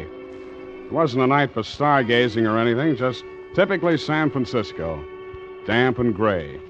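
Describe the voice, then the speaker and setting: An actor playing a tough, wisecracking young sea captain in a 1940s radio drama, clipped and sardonic in manner. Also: male voice, medium pitch, lightly acted. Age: 20s. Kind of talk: 1940s radio acting, hard-boiled narration. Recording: muffled 1940s radio recording with music.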